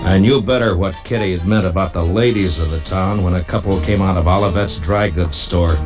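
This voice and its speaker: Man acting a deep-voiced, brooding frontier marshal. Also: close to an everyday voice. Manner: plainspoken, halting, reflective. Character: stoic, weary US Marshal narrating his own Western story. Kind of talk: 1950s Western radio drama acting and narration. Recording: old radio drama recording with music behind.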